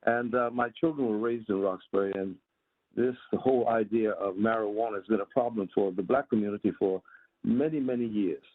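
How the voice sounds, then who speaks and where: An American man in his seventies speaking earnestly and in a measured way at a community meeting, over a phone line. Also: on the low side, no room sound. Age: 70s. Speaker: imam, community member calling in. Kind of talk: public comment at a community meeting. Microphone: telephone call. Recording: narrow, tinny phone line.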